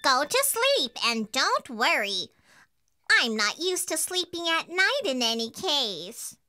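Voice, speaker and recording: A woman's voice: high-pitched, sing-song, takes it slowly, sharp tone, full character voice. A woman voicing a small, watchful, reassuring cat in a storybook cartoon.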